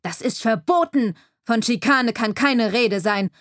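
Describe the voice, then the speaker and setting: A woman's voice: mid-range voice, normal speed, no room sound, clean studio sound, natural voice. Woman playing a bullying mumbling sheriff. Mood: shouting. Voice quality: speaks through barely opened mouth. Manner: menacing, scornful. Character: bullying heavyset middle-aged male sheriff.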